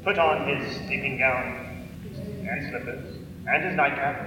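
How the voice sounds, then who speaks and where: Slow male storyteller in deep echo. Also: a crowd in the background. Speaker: narrator. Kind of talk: dramatic scripted storytelling, radio drama. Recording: echoey, distant, noisy ambience behind.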